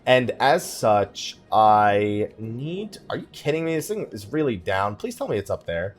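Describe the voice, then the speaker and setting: A man with a slightly low, very animated voice talks through a game in a casual, rambling way. He thinks aloud and drifts onto side topics, with music playing underneath. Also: measured pace. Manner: rambling, thinking aloud, often sidetracking himself. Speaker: gaming video creator and live streamer. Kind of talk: casual let's play commentary, rambling.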